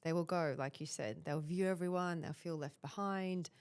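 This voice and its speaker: A woman's voice: low-pitched, moderate pace, clean tone. A woman in her thirties with an Australian accent, a guest on a podcast, speaking confidently and directly. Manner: confident, direct, animated storytelling. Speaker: personal branding guest. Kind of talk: casual interview chat.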